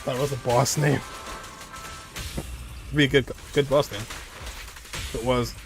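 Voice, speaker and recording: A man gives casual gameplay commentary with clear game music behind him. His pitch is average, and he talks offhandedly, half muttering to himself. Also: fairly even intonation, measured pace. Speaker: game streamer.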